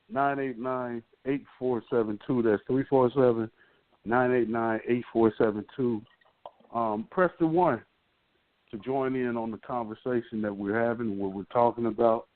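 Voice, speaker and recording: A man hosting a casual call-in radio talk show over the phone, speaking in an informal, slangy way. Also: medium pitch. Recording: dry, narrow phone line.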